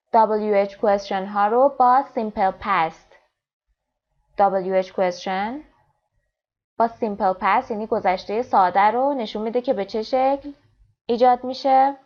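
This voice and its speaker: A woman teaching English grammar, explaining fast in Persian and reading English example sentences slowly and clearly. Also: mid-range voice, lo-fi sound. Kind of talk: grammar lesson.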